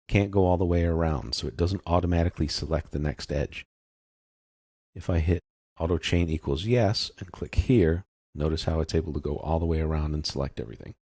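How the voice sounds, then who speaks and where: A man narrating a step-by-step software tutorial in an informal, explanatory way. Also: low voice, no echo, clean studio sound. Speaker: software instructor.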